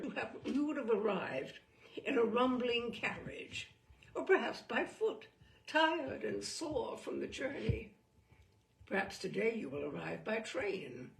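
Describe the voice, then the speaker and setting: A woman reading a humorous book aloud as a storyteller, in a deliberate, theatrical and wry manner. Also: fairly high pitch, vocal fry. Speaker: reader of humorous book selections. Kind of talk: dramatic storytelling read-aloud. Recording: distant microphone.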